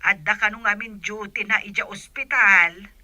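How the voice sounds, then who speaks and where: A woman's voice: medium pitch, steady tone, normal speed, bright, forceful tone, dry recording. A woman playing an apologetic, grateful older village woman with a deferential manner in a radio drama. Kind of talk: Ilocano radio drama acting.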